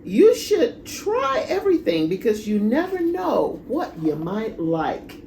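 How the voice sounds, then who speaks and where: A jolly, worldly old grandfather from a picture book, acted out by a woman. He talks heartily and emphatically with lively swings of pitch. Background noise sits under the recording. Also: slow, gravelly, exaggerated voice. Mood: jolly, reminiscing.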